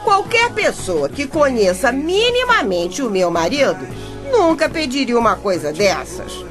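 An actress playing a chatty, exasperated bricklayer's wife who narrates a radio soap opera in a wry Brazilian voice, addressing listeners directly. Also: female voice, fairly high pitch, big pitch swings, unhurried. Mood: wry storytelling. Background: music under the voice.

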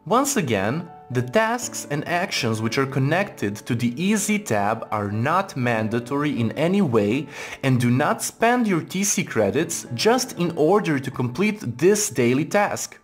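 A man delivers a formal, scripted tutorial voice-over at a middling pitch. His intonation moves up and down in a lively way as he gives measured instructions, with music playing behind him. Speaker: tutorial narrator. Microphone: voice-over for screen recording.

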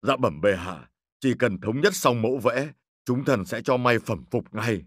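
A man's voice: mid-range voice, animated, measured pace, no room sound, clean studio sound. A man voicing a humble, careful minister in a historical cartoon, addressing a ruler with formal deference. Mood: deferential. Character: humble, careful court minister advising his emperor.

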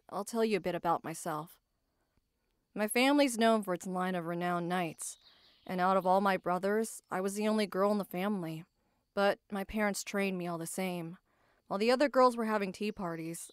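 Woman playing tough proud knight girl, anime asmr roleplay, mid pitch, earnest n guarded, super dry close sound. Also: no room sound, natural voice. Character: tough, proud female knight, guarded and self-doubting about love.

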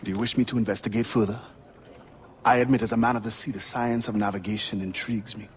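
An actor playing a steadfast pirate turned assassin with a Caribbean accent in a video game, speaking formally and with composure. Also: male voice, on the low side, fairly even intonation, fast talker, a little hiss or hum, close to an everyday voice. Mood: curious. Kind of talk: dramatic video game acting.

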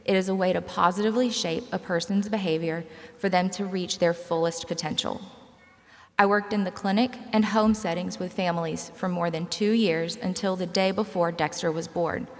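A woman, giving a measured, prepared commencement speech and telling personal stories from a podium. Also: fairly low pitch, fairly even intonation. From South Carolina, United States. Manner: measured, scripted personal storytelling. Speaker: graduate student commencement speaker. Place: large auditorium stage. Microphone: podium gooseneck microphone. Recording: reverberant large hall, podium microphone.

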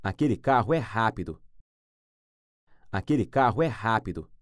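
A man who teaches phrases, saying each one three times, slowly and clearly. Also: lowish voice, lively intonation, dry recording, studio quality. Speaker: language teacher. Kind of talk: slow phrase repetition for learners.

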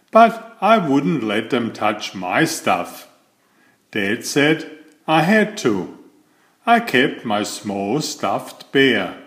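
An older man reading aloud as a children's storyteller, with slow, deliberate, expressive phrasing. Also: mid-range voice, echoey room. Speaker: children's book reader and host.

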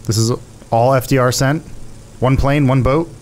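A man's voice: medium pitch, fairly even intonation, moderate pace, darkish tone, a little hiss or hum. A man in his thirties chatting casually and joking as he plays a video game, on a close microphone. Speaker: gaming show host, player. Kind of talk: casual joking chat while playing. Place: indoor studio room. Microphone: close studio microphone on boom arm.

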